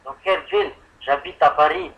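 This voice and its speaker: A man's voice: fairly high pitch, brisk. A man on a telephone line making a prank call as a customer, rambling and hesitant as if confused. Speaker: prank caller posing as an insurance customer. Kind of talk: improvised prank phone call.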